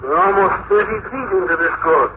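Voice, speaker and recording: An earnest older doctor of science in a vintage radio play, with a slightly high voice. He speaks in grave, deliberate declarations through a thin, band-limited recording with faint hiss. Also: lively intonation. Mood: uneasy, urgent. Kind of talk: 1950s radio drama acting. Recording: tinny, hissy old radio recording.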